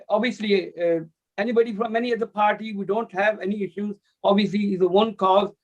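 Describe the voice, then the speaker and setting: A man with a Pakistani accent, a party activist, speaking earnestly over a video call with broken grammar and fillers. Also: high-pitched. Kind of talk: informal speech. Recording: muffled lo-fi call audio.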